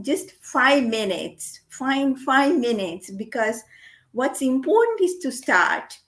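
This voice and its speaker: A middle-aged woman giving a gentle, earnest guest lecture on meditation, repeating her key points. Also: fairly high pitch. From Sri Lanka. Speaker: guest lecturer, medical doctor.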